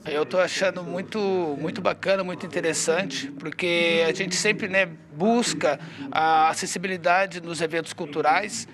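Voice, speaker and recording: A man's voice: on the high side, steady tone, normal speed. A Brazilian man giving a news interview as a participant at a cultural event. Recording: noisy crowd behind him.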